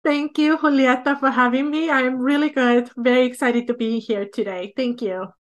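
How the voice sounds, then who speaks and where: A woman in her thirties from Estonia with an Estonian accent, a business coach telling her story as a podcast guest in relaxed, chatty interview talk. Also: high-pitched, normal speed, highs cut off. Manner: storytelling, relaxed with light laughs.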